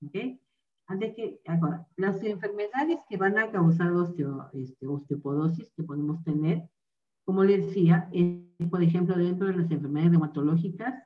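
A woman's voice: on the low side, normal speed. A woman doctor giving an online medical lecture, explaining carefully and checking in with her listeners. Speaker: rheumatologist giving a talk. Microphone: video call microphone. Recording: dull distant video call audio.

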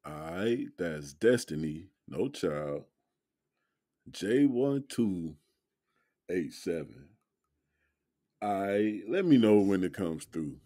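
A man's voice: deep voice, lively intonation, takes it slowly, pauses often, mellow, exaggerated voice. An actor playing a protective, street-smart young man in an audio drama, speaking in slangy, assertive dialogue. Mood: firm, insistent.

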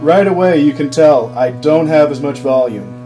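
A young man explaining a guitar circuit on his workbench, casual and halting, with frequent ums and restarts, recorded on a camera mic. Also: a little high, lively intonation. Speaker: electronics hobbyist demonstrating a circuit. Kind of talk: casual off-the-cuff tutorial. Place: workbench at home, indoors.

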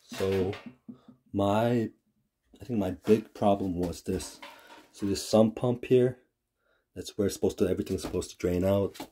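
A man casually explaining a home heater repair, halting with fillers and restarts, recorded on a phone in a basement utility room. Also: on the low side, warm tone, slight background noise. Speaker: homeowner showing a furnace repair. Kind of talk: casual how-to video. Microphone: phone camera held close.

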